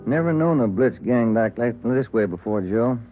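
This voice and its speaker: An American actor playing a weary, plain-spoken police detective sidekick in an old radio drama, talking in clipped, laconic sentences. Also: male voice, medium pitch, lively intonation, moderate pace, soft background music, lightly acted. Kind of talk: 1950s radio drama acting. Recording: thin, tinny old radio broadcast.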